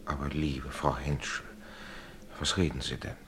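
An actor playing a courteous, paternal gentleman in a German radio play, speaking in a measured, reassuring and admonishing way. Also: a bass voice, flat delivery, background noise. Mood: earnest, reassuring.

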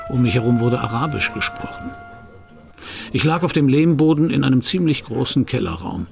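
A hard-boiled private eye in a radio crime play, dry and laconic, gravelly fry in his voice. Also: mid-range voice, animated, moderate pace, over music. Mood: laconic, suspenseful. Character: wry, hard-boiled male private detective, narrating his case. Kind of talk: 1990s German radio crime drama, first-person narration.

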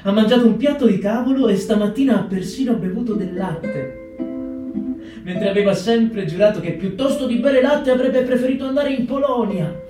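An actor playing a compassionate wartime camp letter writer, in an expressive theatrical reading with dramatic pauses. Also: male voice, sits high, close to an everyday voice. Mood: tender, wry. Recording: echoey hall, distant mic, loud guitar. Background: live plucked guitar music.

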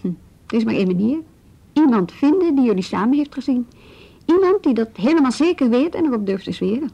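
An actress playing a calm, probing policewoman in a radio drama, questioning in a measured, businesslike way. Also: on the high side, creaky, slightly rough, lo-fi sound, full character voice. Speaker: police officer questioning a youth.

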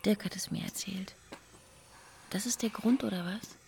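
An actress playing a worried, teasing woman confronting her partner, in hushed, intimate radio play dialogue. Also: mid-range voice, fairly even intonation, moderate pace, gravelly, close to an everyday voice. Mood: worried, probing.

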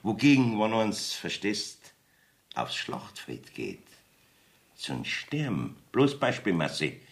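A man's voice: on the low side, huge pitch swings, slow, heavily acted. An actor playing a wily, evasive village judge in a Bavarian dialect radio play, blustering and wheedling as he rambles. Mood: wheedling, veiled menace.

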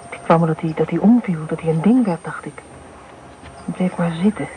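An actress playing a fearful, earnest woman recalling childhood terror in a radio play, speaking quietly and intensely. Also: on the low side, a little fast, background noise, natural voice. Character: fearful woman recalling being buried in sand.

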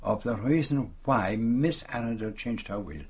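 Belgian private detective, French accent, husky, formal, courteous, probing, radio drama. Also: male voice, on the low side, a little slow, a little mellow. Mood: discreet, probing. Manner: polite, probing, formal phrasing. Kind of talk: British radio drama acting.

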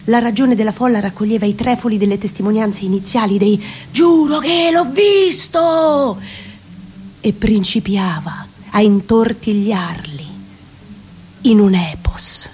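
A woman giving a dramatic literary reading, deliberate and theatrical, savouring ornate prose. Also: mid-range voice, mellow, soft background music. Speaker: reciting actress in radio theatre.

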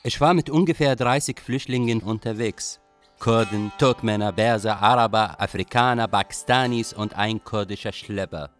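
An actor playing a young Iraqi refugee poet, narrating his flight in a measured, reflective, literary way for a radio drama. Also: lowish voice, soft background music, natural voice. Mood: grave recounting.

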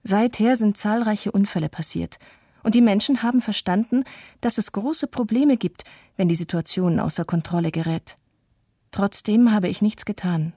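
A woman voicing a guilt-ridden adult who reflects on her life in a measured way, as scripted radio documentary narration. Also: medium pitch, dark tone, husky, no room sound, studio quality, natural voice. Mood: reflective, regretful. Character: guilt-ridden adult woman confessing lifelong fear and inaction.

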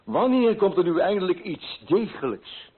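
Pompous grumbling merchant, high-pitched and husky. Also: male voice, lively intonation, normal speed. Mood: pompous, indignant. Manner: pompous, fussy, indignant. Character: pompous, self-righteous, grumbling Dutch coffee broker. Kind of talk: Dutch radio play acting. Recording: muffled old radio recording.

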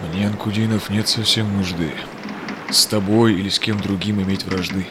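An actor playing a steadfast, devout Russian Cossack explorer in a rhymed verse audio drama, with measured, solemn delivery. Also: male voice, lowish voice, steady tone, background noise, lightly acted. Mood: solemn, calm.

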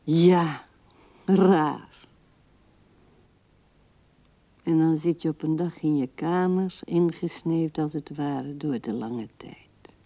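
Old widow in a radio play, talks real slow and creaky, drifting tender thoughts, muffled old recording. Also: female voice, deep voice, dark tone, heavily acted. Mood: melancholy. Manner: musing, drifting, talking to herself and the absent. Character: old widowed woman musing tenderly at husband's grave. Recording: dull 1970s radio drama recording.

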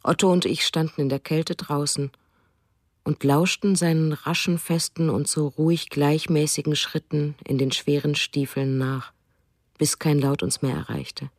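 A woman's voice: sits low, fairly even intonation, unhurried, dry recording, clean studio sound. An actress reading the diary of a wistful German writer and mother for a radio drama.

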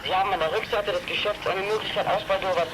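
Male actor as boy detective, high voice, commanding, clipped, children's radio play, noisy recording. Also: fairly even intonation, fast, lightly acted. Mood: tense, alert. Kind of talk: German children's audio drama acting.

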